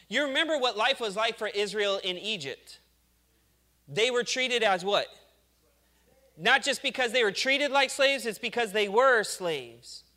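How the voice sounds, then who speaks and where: A man's voice: very high-pitched, bright, forceful tone. A male pastor giving a conversational sermon, acting out the people he talks about. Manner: conversational preaching, acts out complainers.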